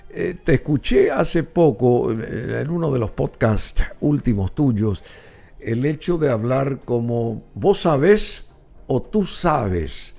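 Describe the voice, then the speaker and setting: A man's voice: medium pitch, gravelly, mellow. A male radio host with a Paraguayan Spanish accent, interviewing a guest, effusive in his thanks and rambling with many fillers.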